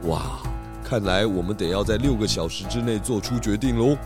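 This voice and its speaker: A man playing a deliberate, questioning detective in a children's radio mystery. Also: fairly low pitch, music in the background, natural voice. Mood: pondering. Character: calm, inquisitive male detective.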